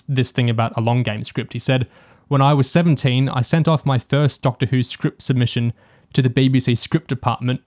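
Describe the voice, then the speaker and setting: A man's voice: medium pitch, a little mellow. Man in casual review discussion. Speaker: podcast co-host.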